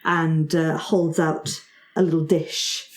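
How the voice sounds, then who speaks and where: A woman's voice: sits low, little pitch movement, slow pace, crowd noise. A woman narrating a tabletop roleplaying game as game master, in a casual, improvised way. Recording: roomy, echoing sound.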